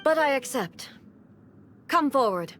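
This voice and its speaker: A woman's voice: sits high, lively intonation, takes it slowly. A woman playing a proud, imperious swordswoman ruler in a video game, speaking formally and bluntly with commanding poise. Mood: solemn, stern. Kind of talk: video game dub acting.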